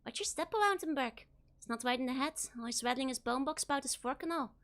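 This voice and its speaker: A hoarse woman voicing a grumbling, put-upon male innkeeper who complains and gossips gruffly. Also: high voice, animated, fast talker, fairly bright tone. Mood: gossipy.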